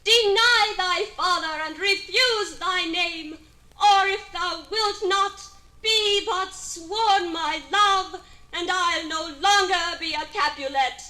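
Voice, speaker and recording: A woman's voice: very high voice, slow pace, bright, forceful tone, exaggerated voice, low-quality recording. A woman playing a lovelorn young Shakespearean heroine, declaiming verse formally in 1950s radio theater style. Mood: yearning.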